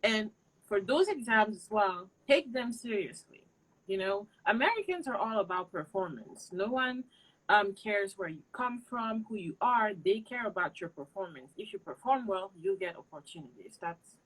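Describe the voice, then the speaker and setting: A woman's voice: mid-range voice, lively intonation, moderate pace, fairly bright tone, slightly rough, rough recording. Earnest young woman from Cameroon. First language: not English. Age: young adult. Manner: earnest, direct, emphatic. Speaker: interview guest, PhD student. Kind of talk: casual interview, giving advice.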